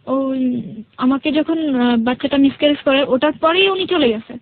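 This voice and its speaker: High-pitched Bangladeshi woman telling her story. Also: moderate pace, phone-quality sound. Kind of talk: personal storytelling in a radio interview. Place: radio studio.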